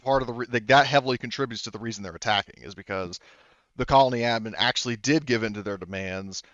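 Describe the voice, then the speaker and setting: A man improvising narration as a tabletop game master, rambling and haltingly correcting himself as he goes. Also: medium pitch, a bit bright, no room sound, highs cut off. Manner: rambling, halting, self-correcting, full of "you know".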